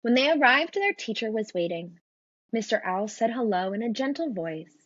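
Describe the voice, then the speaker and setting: Husky, animated woman reading aloud. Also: on the high side, moderate pace, no room sound, lo-fi sound. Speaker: storybook reader. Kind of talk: expressive children's read-aloud narration.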